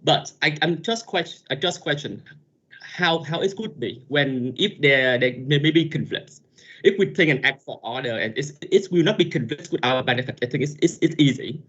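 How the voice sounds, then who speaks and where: A man's voice: fairly high pitch, animated, moderate pace, fairly bright tone, slightly rough, lo-fi sound. A man from the audience asks a question in an online talk, hesitating and repeating words as he searches for how to say it. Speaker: audience member asking a question.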